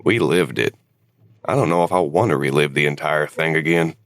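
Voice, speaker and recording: A man's voice: rumbling low, moderate pace, fairly bright tone, no echo. A man playing a weary older husband and war veteran, regretful but devoted, in an audio drama. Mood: subdued, tender.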